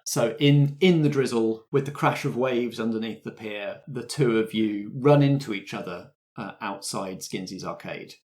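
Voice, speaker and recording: A man's voice: fairly low pitch, moderate pace, hardly any pauses, a little mellow. A man acting as game master, setting scenes for an improvised tabletop roleplay.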